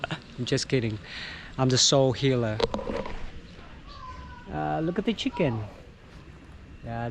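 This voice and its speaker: A man with a Vietnamese accent, a local tour guide chatting on a village walk, pausing often on fillers. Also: mid-range voice. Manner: chatty, halting with many fillers. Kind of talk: walking travel vlog. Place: outdoors in a mountain village. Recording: noisy outdoor recording.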